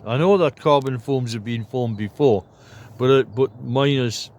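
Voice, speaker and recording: An older man in his seventies chats to his vlog audience from inside a roomy cabin. His talk is loose and rambling, full of ums and the odd throat clear, at an ordinary middle pitch. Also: unhurried, a little mellow. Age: 70s. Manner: rambling, chatty, many fillers and throat clearing. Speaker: vlogger. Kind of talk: casual vlog talk to camera. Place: inside a large wooden cabin.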